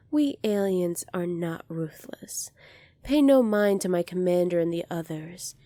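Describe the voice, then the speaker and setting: A woman playing a poised, kindly young alien princess in an ASMR roleplay, polite, formal and measured, soft-spoken with breathy moments. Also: on the low side, no room sound. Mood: gentle, reassuring.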